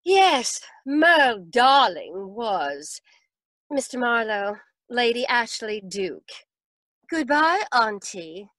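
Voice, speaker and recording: A woman's voice: on the high side, very animated, takes it slowly, a bit bright, dry recording, full character voice. A woman playing a cool, sly young niece in 1940s radio drama, taunting with sugary sarcasm. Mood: icy sarcasm.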